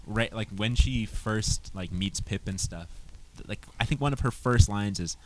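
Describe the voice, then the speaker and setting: A man co-hosting a casual discussion podcast, agreeable and quick with short interjections, on slightly noisy audio. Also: lowish voice. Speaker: podcast co-host.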